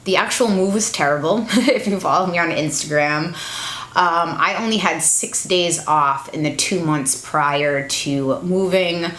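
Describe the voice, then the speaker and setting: A young adult woman giving a blunt, monotone life update to her camera at home, with fillers along the way. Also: lowish voice, unhurried, reverberant. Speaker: beauty YouTuber. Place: room at home, echoey. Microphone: camera with ring light.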